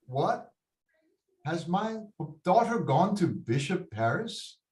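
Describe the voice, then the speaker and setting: An exasperated Mexican patriarch, acted by a man in a staged play read, bossy yet doting. The voice sits fairly high and swings widely at a slow pace, mixing Spanish and curses into a roomy video-call sound. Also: big pitch swings, a little mellow, exaggerated voice. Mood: furious, exasperated. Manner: exasperated, code-switching into Spanish, swearing. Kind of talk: table read of a comic Shakespearean-style play. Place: indoor room, on a video call.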